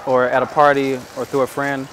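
A man's voice: medium pitch, brisk. A man from the United States, a dating coach, chatting casually and asking probing questions. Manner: relaxed, probing questions, laughs easily. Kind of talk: casual chat. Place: outdoors in a busy city square. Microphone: far hidden camera. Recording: street noise, distant camera.

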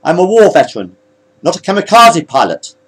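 Man doing a sharp, high-pitched cyborg pilot. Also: very animated, brisk, husky, heavily acted, rough recording. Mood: brisk, unyielding. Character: sharp-voiced cyborg starship pilot, plain-spoken war veteran.

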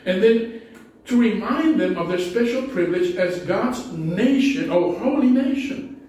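A man's voice: high voice, animated, measured pace, a little mellow, a little hiss or hum. A middle-aged man with a Spanish accent, preaching a conversational sermon from a pulpit, often restarting his sentences. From Spanish-speaking country. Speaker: pastor. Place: small church hall with low ceiling. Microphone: pulpit mic, far camera. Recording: echoey hall from a distance.

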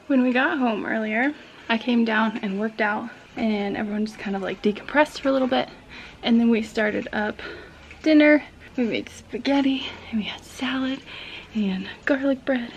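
A woman's voice: fairly high pitch, normal speed, dull audio, a little hiss or hum. A woman in her thirties chatting casually to her vlog audience, talking into a phone camera at arm's length with lots of "like" fillers. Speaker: family vlogger. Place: indoors by a window, then outdoors.

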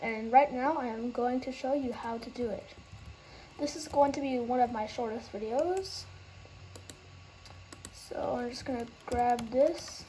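A woman explaining a game trading method in a casual, halting way, losing her train of thought and starting over. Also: a little high, noisy background. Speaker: gaming tutorial host.

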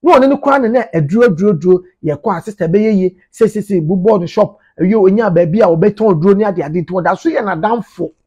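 Ghanaian man, thirties, informal commentator. High, husky voice, emphatic and repetitive delivery. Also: fast talker, few pauses, a little mellow, dull audio. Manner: emphatic, repeats phrases for emphasis. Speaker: media commentator. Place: small indoor office.

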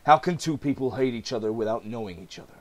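A man's voice: mid-range voice, animated, fast, lightly acted. A man voicing a brooding, serious, frustrated masked vigilante in a comic-book reading. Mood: troubled.

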